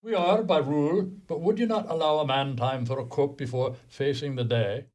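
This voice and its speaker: A man's voice: a little high, moderate pace, a little mellow, natural voice. A man acting an officious, exasperated, rule-bound Irish post office clerk in a radio play. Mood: indignant, fussy. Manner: fussy, officious.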